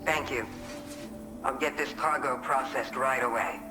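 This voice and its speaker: An actor playing a courteous cargo receiver at a delivery terminal in a video game. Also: male voice, mid-range voice, measured pace, very noisy, close to an everyday voice. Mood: grateful, brisk. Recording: loud music over the voice.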